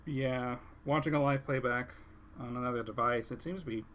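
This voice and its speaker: Guy co-hosting a game stream, bright forward voice, easygoing, jokey, casual commentary. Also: mid-range voice, moderate pace, slight background noise. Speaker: co-host running a game livestream.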